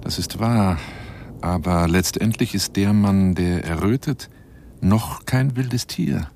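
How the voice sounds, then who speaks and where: An actor playing a garrulous, wooing lion hunter in a radio play monologue, theatrical and coaxing, rambling between exclamations and whispers in a husky, gravelly voice. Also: male voice, low voice, takes it slowly, a little mellow, slight background noise, heavily acted. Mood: bashful, musing. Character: garrulous, self-styled lion hunter wooing a woman by telephone.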